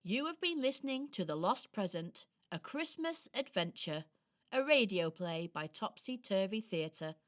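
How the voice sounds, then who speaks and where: A woman narrating a scripted children's radio play expressively, with dramatic pauses. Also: medium pitch, lively intonation, soft background music.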